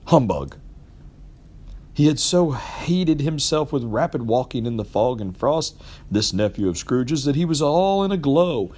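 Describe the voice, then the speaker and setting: A man reading a classic story aloud as an expressive storybook narrator. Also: medium pitch, moderate pace. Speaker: amateur storybook reader.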